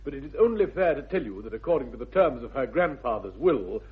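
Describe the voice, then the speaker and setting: An actor playing a proper, pompous English gentleman guardian with an upper-class accent, formal and indignant, in a 1960s radio comedy. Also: mid-range voice, big pitch swings, fast talker, breathy edge. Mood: politely obstinate. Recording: dull vintage radio recording.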